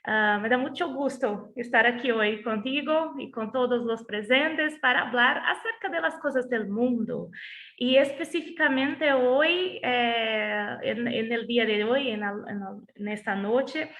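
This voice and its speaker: Brazilian woman, Portuguese-accented Spanish, slightly high voice, halting, on a video call. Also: fairly bright tone. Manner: halting, restarts words while searching for Spanish. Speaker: guest speaker, lawyer. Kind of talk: online lecture introduction.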